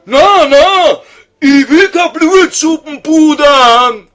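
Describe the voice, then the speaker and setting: A man's voice: very high voice, expressive, takes it slowly, fairly bright tone, soft background music, heavily acted. A man voicing a grumpy, tormented old scholar, whining and exasperated, with a Viennese accent in a comic radio sketch. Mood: impatient, angry.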